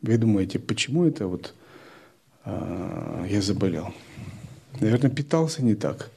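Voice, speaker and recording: A man giving a spiritual lecture, explaining step by step in a calm, methodical way. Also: on the low side, measured pace, vocal fry, slightly rough. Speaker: spiritual teacher.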